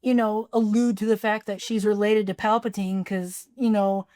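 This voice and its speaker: A woman co-hosting a film podcast, sarcastically picking apart a movie and pitching better scenes. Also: flat delivery, normal speed, dark tone. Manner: sarcastic, agreeing, rewriting scenes. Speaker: podcast co-host. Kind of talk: casual film critique chat.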